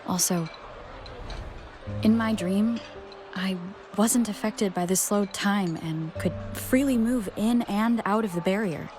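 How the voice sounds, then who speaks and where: A woman playing a calm, thoughtful young wanderer in a video game, speaking in a measured, earnest way. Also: mid-range voice, music in the background, natural voice. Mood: reflective.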